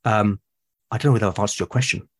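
A man from Britain, a podcast guest, talking eagerly about his characters, with lots of restarts and a slightly husky voice. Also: on the low side, fast. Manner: enthusiastic, rambling with many restarts. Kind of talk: casual podcast conversation.

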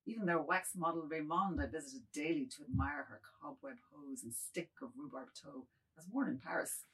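A meek, fretful aging man, played by a woman speaks in a low, husky voice. The delivery is florid and rambling, as in a dramatised reading of a novel. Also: animated, moderate pace, a bit bright, full character voice, low-quality recording. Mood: dreamy longing.

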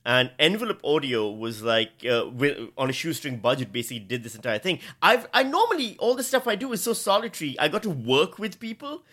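A man chatting casually as an interview guest, talking excitedly and in a rambling way. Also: fairly high pitch, brisk, few pauses. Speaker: guest, writer and comedian.

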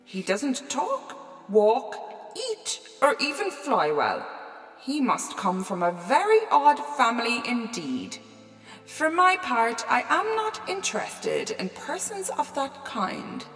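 A woman's voice: sits high, very animated, voice pushed up, full character voice. A woman voicing a pompous, dignified male groundhog in a storybook. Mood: snooty. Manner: haughty, slow and stately. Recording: echoey room, soft music.

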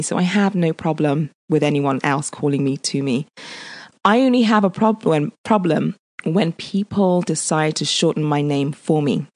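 A woman's voice: sits low, no echo. A woman hosting a casual panel conversation, upbeat and chatty, often checking in with "okay". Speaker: host.

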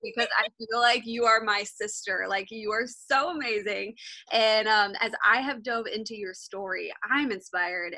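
A woman's voice: mid-range voice, dry recording, low-quality recording. A woman hosting a faith podcast, gushing with praise and chatting casually. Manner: gushing, warm-hearted praise, filler "like". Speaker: podcast host.

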